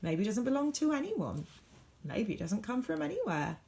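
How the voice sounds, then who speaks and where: Woman playing a laid-back guy with creaky voice. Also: fairly high pitch, very animated, normal speed, darkish tone, pitched above the performer's own voice. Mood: laid-back, musing. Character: laid-back, opinionated male friend, musing.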